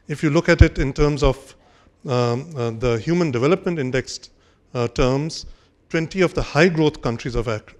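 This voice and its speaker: An older man giving a formal speech at a podium on a conference hall stage, measured and hesitant, with frequent fillers and restarts. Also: on the high side, darkish tone. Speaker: conference speaker. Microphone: podium microphone.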